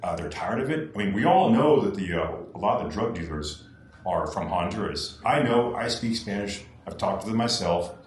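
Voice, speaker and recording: A man's voice: lowish voice, animated, normal speed, reverberant. A man talking as a political candidate on a podcast, earnest and repeating his points for emphasis. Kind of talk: podcast discussion.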